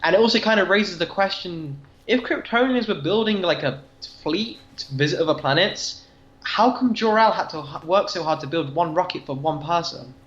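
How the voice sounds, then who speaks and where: A man's voice: sits high, normal speed, fairly bright tone. A man co-hosting a podcast, talking comics casually and earnestly, explaining his points informally, on a roomy, dull recording. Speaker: podcast co-host. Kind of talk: casual comic book discussion. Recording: roomy, slightly dull audio.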